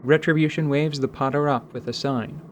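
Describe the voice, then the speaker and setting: A man's voice: a little high, steady tone, normal speed. A man narrating a scripted fantasy story in a radio theatre style. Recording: faint background noise.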